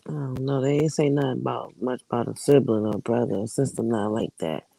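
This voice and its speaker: A man's voice: medium pitch, fairly even intonation, a little fast, gravelly, warm tone, no room sound, low-quality recording. A man chatting casually as co-host, incredulous and profane in his reactions. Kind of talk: casual chat.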